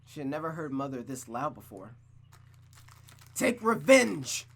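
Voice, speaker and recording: A man's voice: mid-range voice, brisk, a bit bright. A man streaming a visual novel, narrating it aloud like a storybook and adding casual asides.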